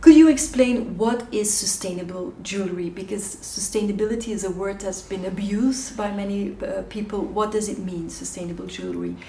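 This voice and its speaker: Woman, podcast host, hesitant fillers, medium pitch, echoey recording. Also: animated, normal speed, hardly any pauses, dark tone, slight background noise. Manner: hesitant, with frequent filler words. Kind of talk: podcast interview. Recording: roomy echo.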